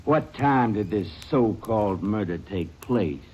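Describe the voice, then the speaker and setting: A nervous murder suspect, his pitch jumping as he defends himself, hesitating and then rushing. The recording is dull and lo-fi with a faint hiss. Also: lively intonation, dark tone. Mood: defensive. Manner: defensive, hesitant and quick when pressed. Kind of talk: 1940s radio drama acting.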